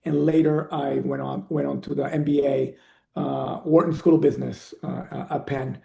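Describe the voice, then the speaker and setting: A man telling his life story as a podcast guest, in an earnest, measured way. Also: mid-range voice, flat delivery, gravelly, warm tone, reverberant. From Venezuela. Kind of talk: conversational interview storytelling.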